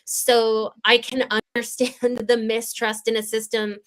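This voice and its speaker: A woman's voice: on the high side, level tone, measured pace, rough recording. Young woman w a really young sounding voice, earnest, chatty, little laughs here n there. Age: young adult. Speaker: congressional candidate, guest. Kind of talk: livestream interview talk.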